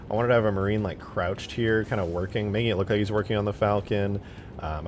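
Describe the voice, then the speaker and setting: A man's voice: fairly low pitch, slight background noise. A man livestreaming as a game modder, chatting casually in a rambling, jokey way as he answers viewers. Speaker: live streamer and game modder.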